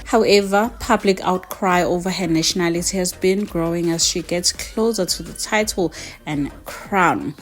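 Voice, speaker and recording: A woman's voice: fairly low pitch, normal speed. A young woman with a South African accent talking on her video channel in a chatty, emphatic way, often adding "okay?" and "you know". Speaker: YouTuber. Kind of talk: casual opinion vlog.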